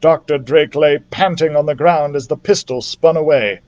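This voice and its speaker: A man's voice: a little high, normal speed, a little mellow, dull audio. A man reading a children's story aloud expressively as a library storyteller.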